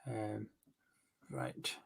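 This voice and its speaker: A man's voice: very slow, fairly bright tone. A man co-hosting a casual live football chat, reading out viewer comments and teasing his co-host. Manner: reads out comments, teasing banter.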